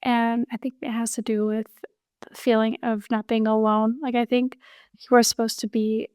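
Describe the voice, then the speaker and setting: A woman's voice: a little high, level tone, moderate pace, darkish tone, smooth, no echo, studio quality. A woman hosting a podcast interview, responding reflectively and asking questions. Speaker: podcast host.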